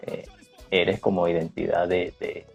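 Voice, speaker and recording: A man's voice: low voice, little pitch movement, measured pace, gravelly, husky. Man hosting a livestream. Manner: advising, reads viewer comments aloud. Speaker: host, Spanish teacher. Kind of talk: casual chat on a live stream. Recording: dull lo-fi stream audio, faint music.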